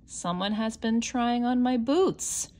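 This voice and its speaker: A woman's voice: slow, a little mellow. A woman voicing a big indignant male papa bear in a storybook, roaring out his complaints. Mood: booming, indignant. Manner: roaring, booming, bellowing.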